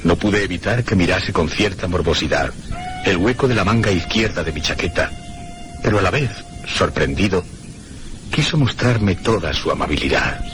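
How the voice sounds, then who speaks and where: A man playing a haunted traveller who narrates a radio mystery drama in a solemn, deliberate, suspenseful way. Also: low-pitched, lively intonation, fairly bright tone, a little hiss or hum. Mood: sombre. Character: haunted one-armed male traveller recounting mysteries, solemn, troubled. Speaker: narrator and protagonist.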